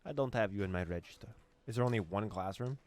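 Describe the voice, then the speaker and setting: A man's voice: on the low side, fast, a little mellow, a little hiss or hum. A man reading a horror visual novel aloud, translating its lines with dramatic narration and amused asides. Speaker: gaming YouTuber.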